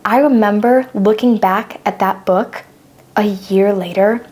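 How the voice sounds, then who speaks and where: A woman's voice: mid-range voice, a little slow, darkish tone. A woman in her twenties, co-hosting a podcast and explaining ideas in a thoughtful, casual chat. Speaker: podcast co-host.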